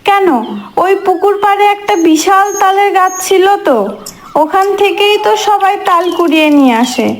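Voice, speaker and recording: A woman's voice: extremely high pitch, moderate pace, a little hiss or hum. A woman doing a bossy village wife. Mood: insistent. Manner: insistent, scolding, wheedling.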